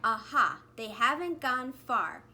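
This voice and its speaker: A woman's voice: a little high, very animated, slow, pushed and bright, heavily acted. A woman voicing a small, eager young male fish who calls out dramatically in a children's storybook. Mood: eager, calling. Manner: exclamatory, calling out. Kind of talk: children's storybook read-aloud.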